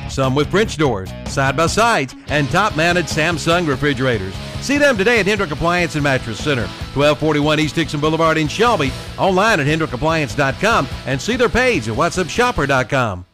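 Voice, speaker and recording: A man's voice: on the high side, sing-song, normal speed, few pauses. A man reading scripted local radio advertisements as an announcer. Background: music bed.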